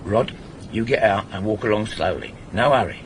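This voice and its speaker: A man's voice: fairly low pitch, lively intonation, moderate pace. An actor playing a controlling middle-aged English burglar who instructs his gang insistently, in a naturalistic radio drama. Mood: instructive, firm. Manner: instructive, insistent, repeats himself for emphasis. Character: wily, controlling veteran burglar, father running a small gang. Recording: noisy 1980s radio drama broadcast recording.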